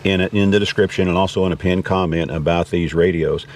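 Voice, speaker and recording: Low-voiced folksy American man, noisy background. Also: fairly even intonation, brisk, far from the mic. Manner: folksy, rambling. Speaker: vlog host reviewing gear. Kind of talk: casual unscripted product talk. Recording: clear background noise.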